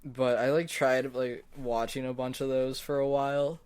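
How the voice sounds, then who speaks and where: Man, middle pitch, podcast co-host, casual chat, informal and conversational. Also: level tone, normal speed, a little mellow, clear, resonant tone.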